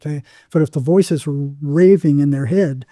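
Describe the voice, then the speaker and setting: Older man, very dark mellow voice. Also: on the high side, dry recording, studio quality. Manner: halting, repeats words, acts out remembered exchanges. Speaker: psychotherapist guest. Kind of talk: conversational storytelling in an interview.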